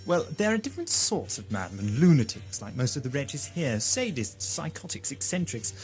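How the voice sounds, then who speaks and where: A man's voice: mid-range voice, sing-song, moderate pace, lightly acted. A man playing a wry, principled hero in an audio sci-fi drama, speaking in a dry, sardonic and challenging way. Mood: sardonic, measured. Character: wry, principled time-travelling hero facing a villain. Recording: music underneath.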